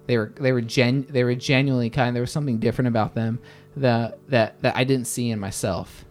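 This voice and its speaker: A man in his thirties answering interview questions conversationally, often halting and restarting his words. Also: medium pitch, fairly even intonation, soft background music. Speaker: interview guest, attorney.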